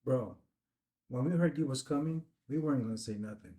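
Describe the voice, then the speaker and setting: An actor at a screenplay table read playing a loyal, laid-back streetwise cop with slangy, casual phrasing. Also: male voice, medium pitch, brisk, warm tone, lightly acted. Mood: defensive, loyal.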